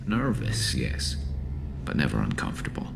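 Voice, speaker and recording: A man voicing a loyal alien soldier in a video game, gravelly, halting and self-deprecating with dry, nervous humour. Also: rumbling low, huge pitch swings, noisy background, exaggerated voice. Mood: sincere. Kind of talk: video game dialogue acting.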